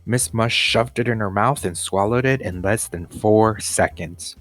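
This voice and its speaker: Low-voiced man reading a storybook aloud. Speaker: teacher reading aloud to children.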